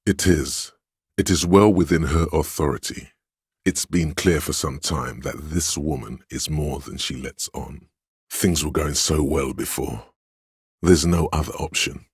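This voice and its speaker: An actor playing a stern, proud inner voice of authority in a video game, narrating in a grave, measured, commanding manner. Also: male voice, rumbling low, husky, no room sound, studio quality, heavily acted. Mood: grave, authoritative. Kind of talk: dramatic game narration in second person.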